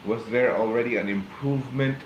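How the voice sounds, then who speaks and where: A man with a Filipino accent hosting a live online interview, speaking casually and haltingly with many fillers and restarts. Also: medium pitch, dull audio, slight background noise. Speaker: host of a live online show. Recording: roomy echo.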